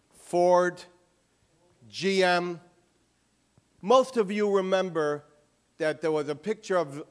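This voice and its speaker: Man, author at a lecture, emphatic, slightly high voice, takes questions. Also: clean tone. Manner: emphatic, forceful. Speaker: author giving a talk.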